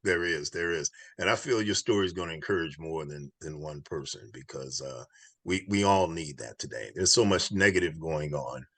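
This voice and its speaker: A man's voice: extremely low pitch, normal speed, fairly bright tone, no room sound. A man hosting an inspirational podcast, speaking in an earnest, encouraging, preacher-like way. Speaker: podcast host.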